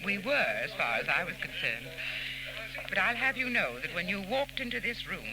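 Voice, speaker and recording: A woman's voice: lowish voice, sing-song, normal speed. An actress playing a poised, flirtatious, wealthy society woman with arch, bantering wit in 1940s radio drama style. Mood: coy, bantering. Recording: noisy old 1940s radio tape.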